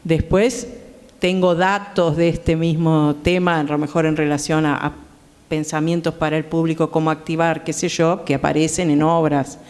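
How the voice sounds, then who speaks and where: A woman's voice: low voice, little pitch movement, darkish tone. Argentine woman in a reverberant hall. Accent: Rioplatense Argentine. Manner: halting, explanatory. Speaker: panel guest, theatre director. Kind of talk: informal panel talk. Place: theatre, live conversation.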